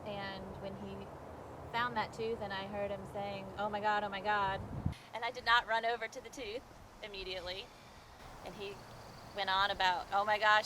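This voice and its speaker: A woman's voice: medium pitch, flat delivery, moderate pace, background noise. A woman telling a personal story in a casual, conversational interview style as a fossil hunter.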